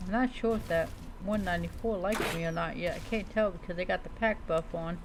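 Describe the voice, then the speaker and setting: Against clearly noisy background ambience, a game streamer with a mid-range voice talks casually through the play. The commentary rambles along as if talking to oneself. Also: medium pitch, fairly quick.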